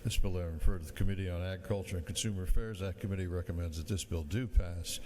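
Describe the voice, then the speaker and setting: A man's voice: sits low, steady tone, fast, warm tone, big-room sound. A man clerk reading a bill caption, rattling off legal text in a formal reading style.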